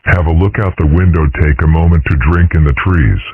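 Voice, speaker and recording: A man voicing a gentle, soft-spoken father dragon in an amateur comic dub, talking slowly and thoughtfully. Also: rumbling low, slight background noise. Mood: reflective. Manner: slow, soft-spoken and reflective. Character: calm, gentle middle-aged father dragon. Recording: dry, tinny phone-like recording.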